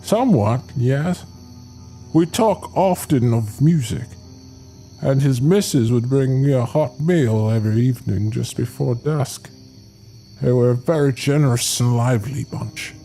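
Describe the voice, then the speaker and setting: An actor playing a polite, ominous old groundskeeper in a horror audio drama, with a grave, formal, theatrical manner. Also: male voice, medium pitch, a little slow, dark tone, faint music behind, close to an everyday voice. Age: older.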